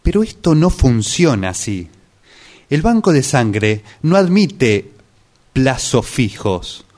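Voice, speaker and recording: A man's voice: medium pitch, sing-song, slow pace, a little mellow. A man plays an incredulous but firm male clerk in a radio comedy sketch. His mid-range voice moves animatedly through formal, puzzled phrasing. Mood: baffled, firm. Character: baffled, firm male blood bank clerk. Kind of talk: amateur radio play acting.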